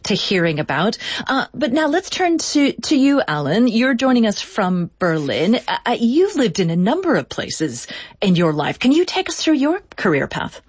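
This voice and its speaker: A woman's voice: a little high, sing-song, moderate pace, husky, dry recording. A woman hosting a radio programme and chatting with guests in a studio. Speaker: radio host.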